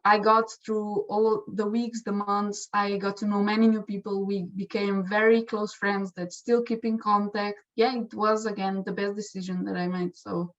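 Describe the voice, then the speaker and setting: A woman's voice: mid-range voice, flat delivery, moderate pace, hardly any pauses, highs cut off. A young woman sharing her experience as an exchange student in a casual testimonial, speaking with many restarts and fillers. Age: young adult.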